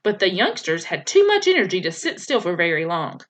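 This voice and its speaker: Teacher reading chapter book aloud, woman, animated storytelling voice, a few stumbles. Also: fairly low pitch, brisk, slight background noise. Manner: expressive storytelling with occasional slips and restarts. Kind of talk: reading a children's novel aloud.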